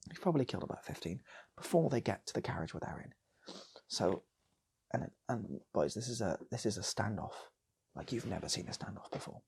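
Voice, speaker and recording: A man's voice: lowish voice, very animated, a little fast, creaky, a little mellow, slightly rough. A man improvising a comic story as a host, rambling, repeating phrases and slipping into acting out characters. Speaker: storytelling host of an online channel.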